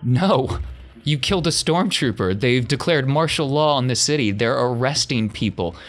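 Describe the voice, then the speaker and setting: A man's voice: mid-range voice, animated, moderate pace, voice pushed up, lightly acted. A man playing a grave, blunt uncle giving urgent warnings in a tabletop game. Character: grave, urgent uncle who once sheltered fugitives.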